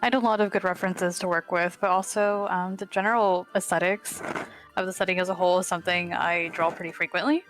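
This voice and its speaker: A woman's voice: fairly low pitch, fairly even intonation, fast talker, a bit bright, low-quality recording. A woman speaking as a character artist in a casual interview, answering in an eager, informal way. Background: background music.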